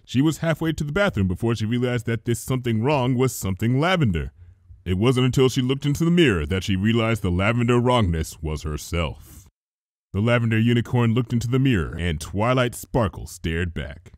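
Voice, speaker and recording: A man's voice: mid-range voice, lively intonation, a little fast, dry recording, studio quality. A man reading fan fiction aloud as a dramatic narrator. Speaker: fanfiction reader. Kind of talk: dramatic scripted story narration.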